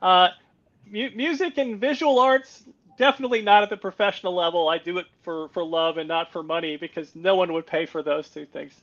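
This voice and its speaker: A middle-aged American man, a guest on a podcast, talking in a relaxed, self-deprecating way and often restarting his sentences over a video call. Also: high voice, a little fast. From United States. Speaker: guest, policy advisor. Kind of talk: conversational interview. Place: home on a Greek island. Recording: dull lo-fi call audio.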